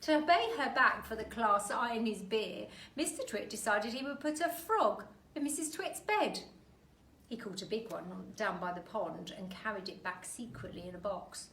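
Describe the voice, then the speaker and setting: Woman reading a kids story, bright voice, really expressive, echoey room, bit far from mic. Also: medium pitch. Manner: deliberate, dramatic emphasis. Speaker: teacher reading a story to pupils. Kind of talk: expressive children's storybook narration. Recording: roomy echo, distant mic, faint noise.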